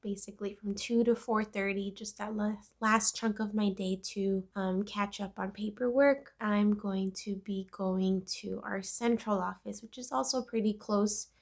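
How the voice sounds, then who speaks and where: A woman's voice: medium pitch, steady tone, a little slow, gravelly, hardly any pauses. An American woman, congested from a cold, giving a casual, chatty update as a vlogger. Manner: chatty, informal. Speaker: vlogger, pre-K instructional coach.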